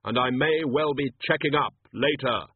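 A man's voice: medium pitch, normal speed, husky, no echo, pitched above the performer's own voice, lightly acted. A man voicing Death, a terse, commanding male spirit. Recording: tinny lo-fi audio.

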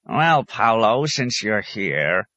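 An ancient, crotchety hermit, as a male narrator plays him in a storybook reading. He is sly and indignant, grumbling in grand old scriptural phrases. The voice is lifted well above the reader's low register, in a dry, close recording. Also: big pitch swings, slow, sharp tone, no room sound, muffled recording, exaggerated voice. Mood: crotchety, teasing. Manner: grumbling, crusty, archaic scriptural turns.

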